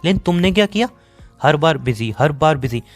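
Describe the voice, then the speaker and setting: A man's voice: fairly high pitch, lively intonation, faint music behind. A man with an Indian accent playing a sarcastic, dismissive young boyfriend in a phone-call romance drama. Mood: irritated. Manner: sarcastic, dismissive, rapid-fire. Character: bitter, sarcastic young boyfriend fed up with his girlfriend.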